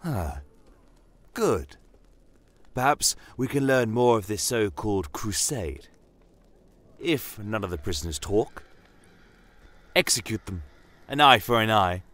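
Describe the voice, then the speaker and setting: A man playing a stern, proud commander of a fantasy empire, telling his own tale in a measured, formal, brooding manner. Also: on the low side, expressive, a bit bright, voice pushed up. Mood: commanding, stern. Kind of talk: first-person fantasy audio storytelling.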